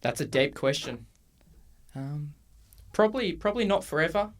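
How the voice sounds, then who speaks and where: A man in his twenties with an Australian accent, co-hosting a casual podcast and chatting in a relaxed way with frequent ums and restarts. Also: a little high, normal speed. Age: 20s. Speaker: podcast co-host. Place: university room.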